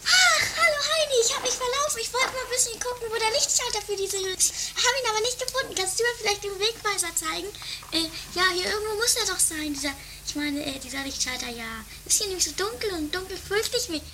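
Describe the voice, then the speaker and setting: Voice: very high voice, quick pace, noisy background. A performer voicing a dim, chattering woman in an amateur comedy radio play, naive and rambling.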